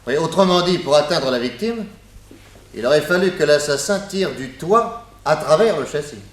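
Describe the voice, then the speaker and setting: An actor playing a shrewd, confident detective in a French radio mystery play, courteous and deliberate as he explains and probes. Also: a little high, expressive. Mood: intrigued. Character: shrewd, theatrical male detective, confident and probing.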